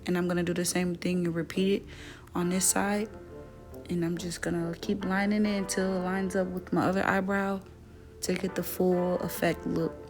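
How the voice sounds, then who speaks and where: A young woman with a slightly raspy, dry voice, chatting casually through a makeup tutorial. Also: on the low side, moderate pace, over music. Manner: relaxed, chatty, self-correcting. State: voice dry from weather. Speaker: beauty vlogger.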